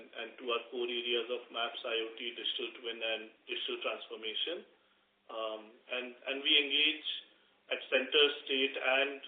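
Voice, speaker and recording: Echoey male executive on a call. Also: medium pitch. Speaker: company executive answering analysts. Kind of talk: unscripted earnings call answers. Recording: echoey room over narrow phone line.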